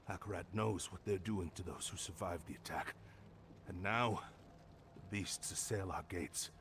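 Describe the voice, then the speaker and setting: Man acting a grave, low-voiced village defender. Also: lightly acted. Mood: grave, pleading. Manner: grave, earnest. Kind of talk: dramatic video game acting. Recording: faint background noise.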